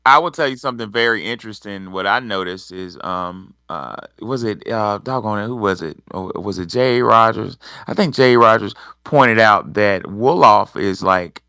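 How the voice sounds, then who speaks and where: A man hosting an online discussion, giving informal commentary and reading quotes in a halting, deliberate way. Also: lowish voice, sharp tone, clear, resonant tone, dry recording, clean studio sound. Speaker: host of an online audio discussion. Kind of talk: informal commentary with read quotations.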